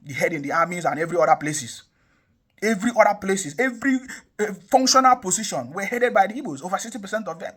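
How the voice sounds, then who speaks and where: High, lively Nigerian man ranting. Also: fast talker, breathy edge. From South South Nigeria. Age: young adult. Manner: emphatic, repetitive, rhetorical questions. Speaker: online commentator. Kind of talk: impassioned political commentary.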